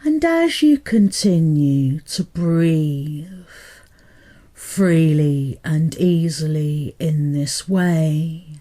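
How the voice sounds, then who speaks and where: A woman hypnotherapist guiding a meditation in a soothing, deliberate manner, pausing between short phrases. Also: low voice, lively intonation, dark tone. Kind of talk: guided meditation hypnotherapy narration.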